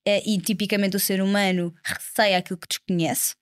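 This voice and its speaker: A woman's voice: medium pitch, little pitch movement, brisk, no echo. A young woman in her twenties with a European Portuguese accent, a politician guest on a casual podcast who explains her views at length, earnestly and with many fillers. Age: 20s.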